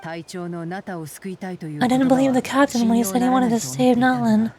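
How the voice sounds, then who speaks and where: Earnest stubborn young tribe girl, streamer lady reading her lines, high but a bit softer. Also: measured pace, crowd noise, close to an everyday voice. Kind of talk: fan reading of game dialogue.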